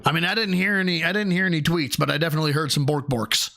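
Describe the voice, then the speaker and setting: A man's voice: a little high, very fast, noisy background. A man doing profane, sarcastic reaction commentary on a clip, mocking the speaker and reading out viewer messages. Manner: sarcastic, swears a lot, mocking.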